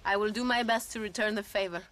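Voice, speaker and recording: A woman's voice: mid-range voice, steady tone, fast talker, fairly bright tone, close to an everyday voice. An actress playing a resolute, courteous young woman revolutionary in a Western video game, speaking with a Mexican accent. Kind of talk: video game cutscene acting. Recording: noisy outdoor scene.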